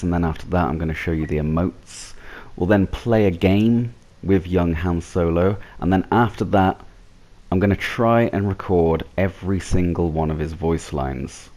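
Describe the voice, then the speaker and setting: A man's voice: sits low, a little mellow. A man hosting a gaming video with casual, informal, jokey commentary. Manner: informal, jokey, mildly sweary. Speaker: gaming YouTuber, host. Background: game music.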